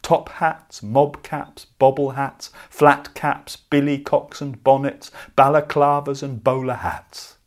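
Male storyteller for a children's tale, unhurried, mid-pitched, dramatic cadences and deliberate pauses.

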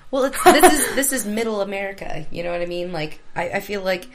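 A woman from Missouri chatting on a podcast, teasing and quick to laugh. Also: medium pitch, lively intonation, noisy background. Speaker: podcast co-host. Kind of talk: casual chat.

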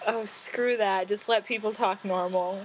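A woman's voice: mid-range voice, fairly even intonation, measured pace, background noise. A woman hosting a casual podcast, teasing her guests and laughing easily. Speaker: podcast host. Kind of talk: casual chat.

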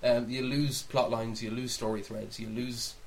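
A man's voice: mid-range voice, level tone, normal speed. Rambling man on a noisy recording. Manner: rambling, backtracking, mildly sweary. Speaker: podcast co-host. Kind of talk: casual opinionated chat about films and games.

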